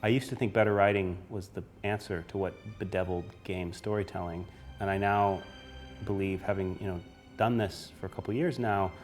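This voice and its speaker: A man with a notably deep voice reflects in an interview. His phrasing is measured and articulate, and music runs faintly behind him. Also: steady tone. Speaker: video game interviewee.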